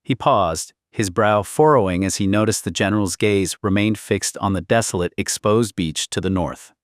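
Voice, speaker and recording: A man's voice: on the low side, moderate pace, no room sound, clean studio sound. A man narrating historical fiction as an audiobook, with a solemn, literary, dramatic scripted delivery.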